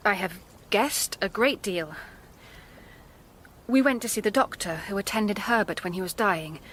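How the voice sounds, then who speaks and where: A woman's voice: mid-range voice, a little slow, a bit bright, slight background noise, natural voice. An actress playing a determined, grave young woman in a radio drama, composed and probing. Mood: accusing.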